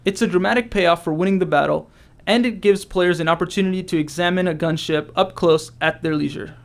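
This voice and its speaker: A man's voice: high voice, brisk, gravelly. A man giving scripted commentary as a game developer.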